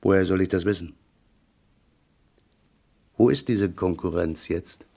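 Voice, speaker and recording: A tough, wary crook from a noir radio play. The voice is deep with a heavy gravelly creak. He talks slang in a casual, sure-of-himself way, as if nothing rattles him. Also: unhurried, mellow, full character voice. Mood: wary, probing. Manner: offhand, slangy. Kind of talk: noir radio drama acting.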